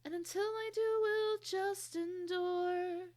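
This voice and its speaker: A woman's voice: very high-pitched, steady tone, slow pace, a little mellow, soft background music. A woman playing an awkward, earnest teenage girl who confides in musical theatre song. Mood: confiding, yearning.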